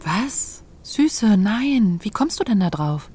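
A woman's voice: medium pitch, very animated, takes it slowly, warm tone, breathy edge, exaggerated voice. A woman playing a weary but resolute older sibling in a radio play, with a dry, protective manner. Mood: gentle. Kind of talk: German radio play acting.

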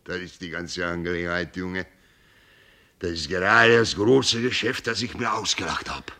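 Gravelly old sea captain. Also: male voice, low-pitched, moderate pace, sharp tone. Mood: scheming, persuasive. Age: older. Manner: rambling, folksy storyteller, sprinkles in English words. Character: old sea captain, boisterous, tipsy, sentimental, shrewd. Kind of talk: radio play acting.

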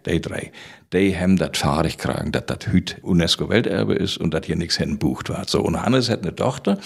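Knarrige, raue Männerstimme mit norddeutschem Akzent. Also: male voice, deep voice, creaky. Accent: northern German. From island of Rügen, Germany. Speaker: interview guest, author. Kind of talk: casual storytelling in Low German.

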